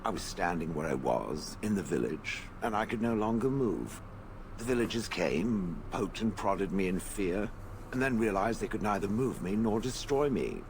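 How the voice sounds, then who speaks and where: An actor playing a sardonic stone golem from a fantasy video game, haughty and contemptuous of people. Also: male voice, fairly low pitch, expressive, a little hiss or hum. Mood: bitter, dry. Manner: haughty, sardonic, deliberate phrasing.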